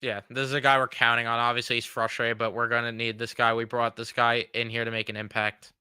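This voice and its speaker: A man's voice: mid-range voice, level tone, fast talker, pushed and bright, clean tone, no echo. A man in his twenties hosting a sports fan show, giving blunt, frustrated, swearing commentary while fighting a cold. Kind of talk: casual reaction commentary.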